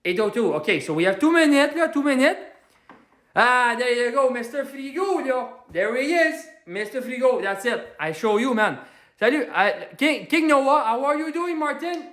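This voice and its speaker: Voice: sits high, big pitch swings, moderate pace, roomy sound. A performer playing a hot-headed working-class man from Quebec who is angry all day, ranting in broken English mixed with French, with a strong Québécois French accent, on a comedy livestream. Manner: loud ranting, self-styled Quebec Hulk. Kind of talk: ranting comedy livestream, answering viewer comments.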